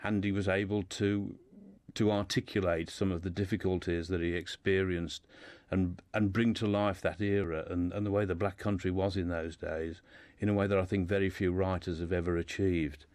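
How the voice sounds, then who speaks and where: A man's voice: deep voice, fairly even intonation, normal speed, few pauses, a little mellow, no echo. A man speaking as a guest in a radio discussion, telling a life story informally and often repeating words as he builds his sentences.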